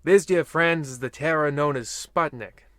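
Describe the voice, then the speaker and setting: A man's voice: fairly high pitch, moderate pace, bright, forceful tone, no room sound. A man playing an ominous, wry old-time radio horror host, theatrical and portentous with dry jokes. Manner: theatrical, portentous, dryly joking. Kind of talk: 1950s radio anthology host parody.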